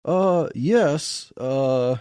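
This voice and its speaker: A man voicing a bashful, fumbling ranch hand who stammers with excitement. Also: a little high, extremely slow, clean tone, dry recording, clean studio sound. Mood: flustered, awed.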